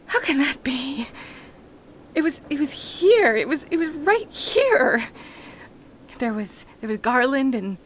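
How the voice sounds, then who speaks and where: An actress playing a tender, wonderstruck woman in a radio play, halting and emotional, slipping into whispers. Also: high voice, slight background noise, exaggerated voice. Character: tender, wonderstruck woman alone with her dog on Christmas Eve.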